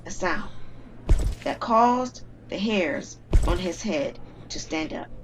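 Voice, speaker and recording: A woman storyteller reading a scripted dramatic tale with measured, suspenseful phrasing. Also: on the low side, expressive, background noise. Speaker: storyteller and performer.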